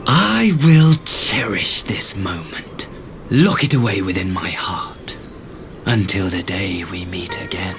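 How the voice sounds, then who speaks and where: A smug zealot envoy in a fantasy game, low and drawn-out. His theatrical, hissing delivery swings widely in pitch, with music behind it. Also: lively intonation, heavily acted. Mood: gloating. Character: smug, fanatical male imperial emissary devoted to his lord. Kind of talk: theatrical video game cutscene acting.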